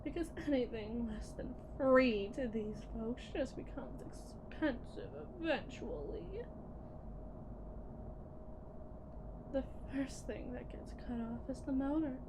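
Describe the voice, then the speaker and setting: Slow, high-voiced condescending female technician played by a woman. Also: noisy background. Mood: ominous, measured. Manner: theatrical, patronizing, deliberate with dramatic pauses. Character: condescending, menacing female lead technician at shady robot company. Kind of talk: scripted ASMR roleplay monologue.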